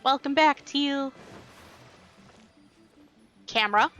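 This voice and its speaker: A woman's voice: sits high, slow pace, fairly bright tone. A woman livestreaming a game with casual commentary, reading dialogue aloud and muttering to herself. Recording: faint game music behind the voice. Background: game music.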